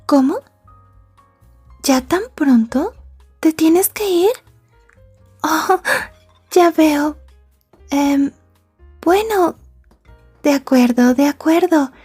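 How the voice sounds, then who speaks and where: A woman playing a sweet, bookish schoolgirl girlfriend with a possessive edge, soft-spoken and breathy, in an ASMR roleplay. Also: high-pitched. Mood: tender, sad. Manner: soft-spoken, dropping into whispers. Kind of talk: ASMR roleplay acting in Spanish.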